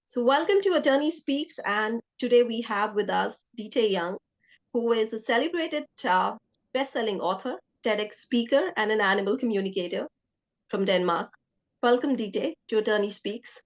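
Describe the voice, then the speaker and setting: A woman speaks as a podcast host in an interview setting. Her voice sits a little high, and she talks in a conversational question-and-answer style. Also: lively intonation, normal speed.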